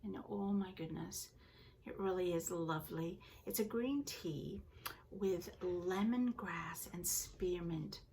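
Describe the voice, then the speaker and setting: An older woman from England with a softened Liverpool accent, hosting a knitting podcast from home and chatting casually with the viewer. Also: fairly low pitch, takes it slowly, a little hiss or hum. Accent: Canadian-influenced English, originally from Liverpool. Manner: chatty, rambling, self-deprecating. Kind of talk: casual chat to camera. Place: home, seated indoors.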